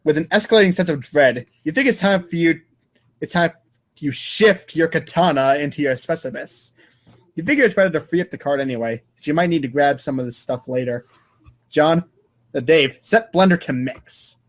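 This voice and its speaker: A man's voice: on the high side, moderate pace. A man reading comic narration aloud in a casual, irreverent way, stumbling over lines now and then. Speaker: podcast co-host and reader.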